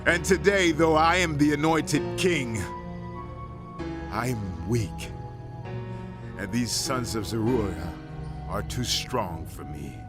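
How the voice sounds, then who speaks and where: A male actor playing a grieving, righteous warrior king in a dramatized scripture, with slow, solemn declamation. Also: mid-range voice, animated, loud music behind the voice. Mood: weary grief.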